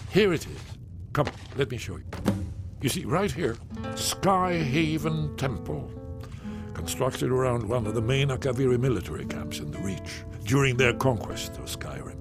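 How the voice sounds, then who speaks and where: An actor voicing an elderly, excitable male loremaster in a video game, rambling theatrically as he explains. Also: medium pitch, a little slow, gravelly, music in the background. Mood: eager, lecturing.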